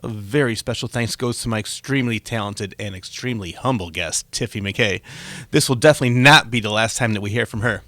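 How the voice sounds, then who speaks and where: A man's voice: on the low side, brisk, a bit bright, dry recording. A man hosting a conversational radio-style interview show, talking with a guest.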